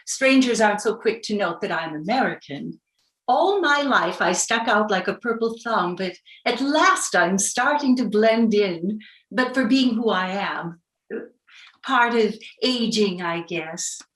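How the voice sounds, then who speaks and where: A woman's voice: medium pitch, lively intonation, a little slow, a bit bright. An actress playing a candid, plucky expatriate woman, speaking conversationally in a staged reading. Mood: wry. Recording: echoey room sound.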